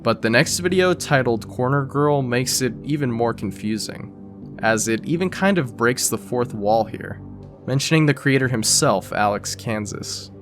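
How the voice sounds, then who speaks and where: A man's voice: medium pitch, lively intonation, measured pace, soft background music. A man narrating an explainer video in a casual, conversational, scripted style. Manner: conversational, informal.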